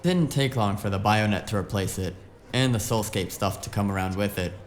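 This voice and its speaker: A man's voice: fairly low pitch, fairly quick, slight background noise, close to an everyday voice. A man playing a wisecracking, streetwise hacker sidekick in a cyberpunk audio drama, talking in a casual, slangy, flippant way. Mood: breezy.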